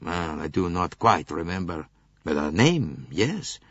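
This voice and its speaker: A man's voice: sits low, very animated, a little slow, no echo, exaggerated voice. A man voicing a beaming, voluble, good-natured Italian male salesman with a slight Italian accent who talks in an expansive, gushing way, on a dull lo-fi tape.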